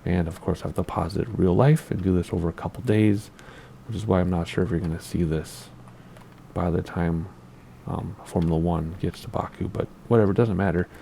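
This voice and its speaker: A man with a very low, gravelly voice that sounds scratchy from allergies, talking through a flight sim session. His tone is dark and mellow, and he rambles, gets distracted, and chuckles at his own mistakes. A faint hiss sits under the recording. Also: fairly even intonation, fairly quick. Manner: rambling, easily distracted, self-deprecating, laughs at himself. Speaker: flight sim gameplay host. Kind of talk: casual gameplay commentary.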